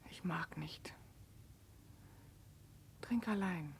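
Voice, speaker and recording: A woman's voice: lowish voice, extremely slow, vocal fry, a little hiss or hum, heavily acted. An actress playing a sullen, defiant young woman prisoner in a 1960s German radio drama, curt and downcast.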